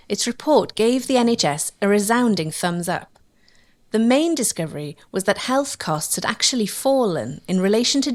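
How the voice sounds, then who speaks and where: A woman serves as narrator of a documentary. She reads a prepared script in a mid-range voice, presenting it as factual narration. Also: mid-range voice.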